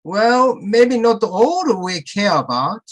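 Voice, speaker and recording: A woman's voice: medium pitch, very animated, takes it slowly, low-quality recording. A woman playing a worried, rule-minded member of a school faculty in a screenplay table read.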